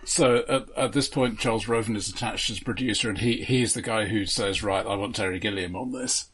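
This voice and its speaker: A man co-hosting a film discussion, giving background in a measured, factual, halting way. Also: on the low side, a bit bright, slightly rough. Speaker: film podcast co-host.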